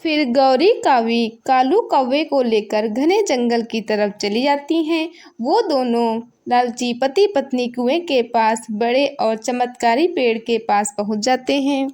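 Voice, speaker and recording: A woman's voice: on the high side, animated, a little slow, few pauses, a little mellow. A woman narrating a scripted moral story for children.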